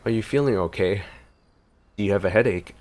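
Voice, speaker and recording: A man's voice: fairly low pitch, brisk, darkish tone. A man giving casual, blunt gameplay reaction commentary as a streamer, partly muttering to himself. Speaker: gaming streamer.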